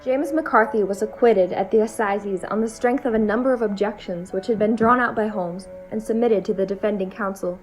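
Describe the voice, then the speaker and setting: A composed Victorian storyteller, voiced by a woman, narrates an audio drama in formal prose. The voice is middle-pitched, with music behind it. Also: a little fast, few pauses, close to an everyday voice, low-quality recording.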